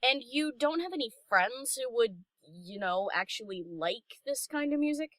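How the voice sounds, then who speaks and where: A woman's voice: fairly high pitch, animated, normal speed, a bit bright, dry recording. A woman voicing a brash young DJ pony, casual and teasing, in an audio story. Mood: curious, teasing. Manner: casual, cheeky, sardonic. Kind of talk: character acting in audio story reading.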